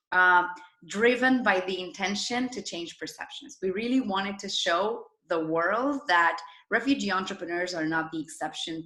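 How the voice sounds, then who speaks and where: A woman with a bright, forward voice talks as an interview guest. She is enthusiastic and emphatic as she explains things conversationally, and the room echoes clearly around her. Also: medium pitch. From Argentina. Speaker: interview guest, startup founder and former journalist. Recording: roomy, echoing sound.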